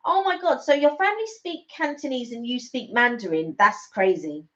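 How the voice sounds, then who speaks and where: A woman's voice: on the high side, animated, normal speed, fairly bright tone. Chatty woman, muffled livestream sound. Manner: chatty, informal, responsive. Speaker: live stream co-host. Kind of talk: casual chat. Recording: dull, lo-fi livestream audio.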